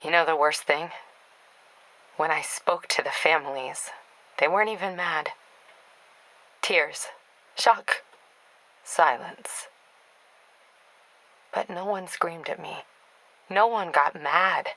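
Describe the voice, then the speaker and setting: A woman's voice: sits low, takes it slowly, pauses often, pushed and bright, full character voice, rough recording. A woman voicing a tough, no-nonsense female police captain in a video game, speaking in a terse, authoritative, dry manner. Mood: subdued, bitter.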